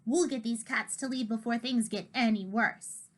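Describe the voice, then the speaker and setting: A woman's voice: on the high side, steady tone, normal speed, close to an everyday voice. A woman voicing a brave young girl in a storybook, firm and urgent.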